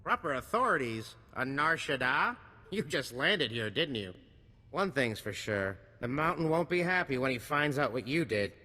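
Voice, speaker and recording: Sharp, bright wisecracking arms dealer. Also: male voice, medium pitch, animated, normal speed, in a large hall. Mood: sardonic. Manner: slick, sardonic salesman patter. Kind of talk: video game cutscene acting.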